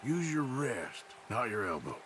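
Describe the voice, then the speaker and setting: Slow-spoken outlaw, middle-aged, lively lilt, game score behind. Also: male voice, mid-range voice. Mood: easygoing. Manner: easygoing drawl, patient and plainspoken. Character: weary middle-aged outlaw cowboy, gentle with a child. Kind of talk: video game cutscene acting. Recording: game audio with music underneath.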